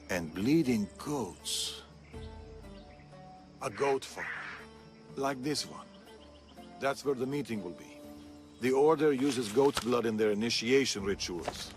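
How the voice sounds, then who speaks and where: A stern, seasoned assassin mentor. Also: male voice, medium pitch, lively intonation, takes it slowly, long pauses, faint music behind. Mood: grave. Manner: instructive, clipped, serious. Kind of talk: video game cutscene acting.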